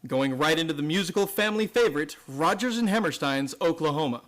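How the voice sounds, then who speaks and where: A man's voice: fairly high pitch, expressive, fast, a bit bright. A man co-announcing a scripted theatre promo.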